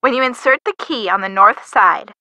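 A woman's voice: mid-range voice, normal speed, sharp tone, no echo. A young woman playing a helpful, perky friend in a detective video game, chatty and teasing as she gives scripted hints. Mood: helpful, nudging. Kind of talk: scripted game hint dialogue.

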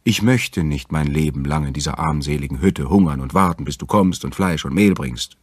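Man voicing a husky, choosy young woman. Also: extremely low pitch, normal speed, no room sound, clean studio sound. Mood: reproachful.

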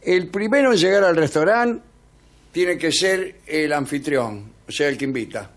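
A man with a Rioplatense Argentine accent, hosting a radio comedy show with deadpan, mock-serious banter and a habit of repeating himself. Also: on the high side, measured pace. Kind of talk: mock-serious comic banter, reading etiquette tips.

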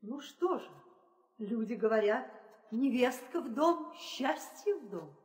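A woman's voice: fairly high pitch, animated, takes it slowly. An actress playing a deferential, composed younger queen with a formal, restrained manner in a theatrical audio play. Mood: guarded. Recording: reverberant 1960 audio play record.

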